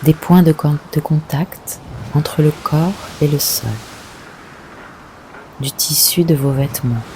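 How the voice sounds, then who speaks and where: A woman leading a guided yoga nidra meditation, giving gentle, unhurried instructions with long pauses. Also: low voice, fairly even intonation, a little hiss or hum, poor audio.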